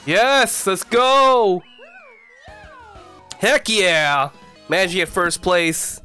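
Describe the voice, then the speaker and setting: A young man with a congested voice from the flu, giving casual, excitable commentary as he plays a racing game at home on a desk mic. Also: high-pitched, very slow, clean tone, faint music behind. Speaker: gaming YouTuber. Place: home gaming room. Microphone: desk mic, face camera.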